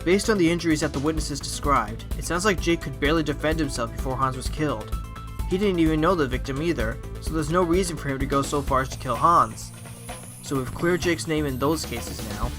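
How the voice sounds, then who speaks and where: A man playing an earnest, confident fox defence lawyer in an audio drama, reasoning aloud deliberately. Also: fairly high pitch, close to an everyday voice. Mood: pondering. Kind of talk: audio drama courtroom acting. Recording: prominent music under the voice.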